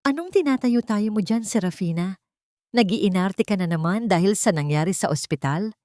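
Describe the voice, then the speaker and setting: A woman's voice: medium pitch, lively intonation, moderate pace, mellow, no echo, clean studio sound, natural voice. A woman voicing a scornful, domineering elderly mother-in-law in an audio drama, scolding with emphatic contempt.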